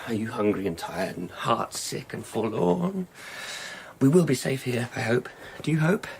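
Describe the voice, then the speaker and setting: An actor playing a frightened, zealous street preacher in a radio drama, fretful and rambling, with biblical phrasing and repeated words. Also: male voice, mid-range voice, animated, unhurried, vocal fry. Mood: rambling, forlorn. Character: frightened, zealous street preacher clinging to faith amid apocalypse. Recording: loud ambient noise behind the voice.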